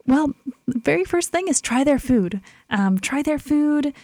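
A woman's voice: sits high, expressive, measured pace, darkish tone, no echo. Woman, kitchen director guest, upbeat and promotional, lots of fillers, dry close sound. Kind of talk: interview answers.

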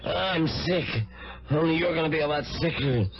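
An actor playing a sick, uneasy, secretly scheming man in a radio drama, halting and wary. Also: a little high, lightly acted. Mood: grim, desperate. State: sick, coughing. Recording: dull vintage radio recording.

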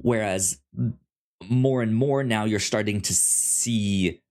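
A man co-hosting a podcast, talking casually and haltingly as he thinks aloud and stammers over words. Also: lowish voice, darkish tone. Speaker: podcast co-host. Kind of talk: casual discussion and review.

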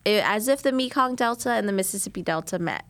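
A woman's voice: mid-range voice, lively intonation, fast, a bit bright, no echo. A young woman from New Orleans, a chef, answering interview questions candidly and laughing easily. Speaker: chef, cooking competition contestant.

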